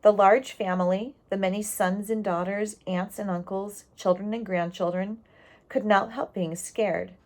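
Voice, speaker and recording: A woman reading a storybook aloud, expressive and explanatory, pausing on key words and adding asides for young listeners. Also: medium pitch.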